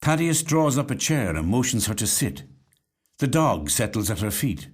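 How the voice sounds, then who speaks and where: A man reading a literary short story as scripted narration, with measured, dramatic, deliberate phrasing. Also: mid-range voice, sing-song, breathy edge. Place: recording studio.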